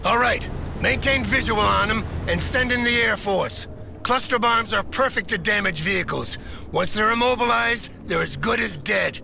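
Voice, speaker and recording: An actor playing an authoritative army general giving firm orders in a military strategy game. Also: male voice, high-pitched, normal speed, gravelly, noisy background. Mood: firm, urgent. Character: commanding US army general directing an airbase assault. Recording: loud music over the voice.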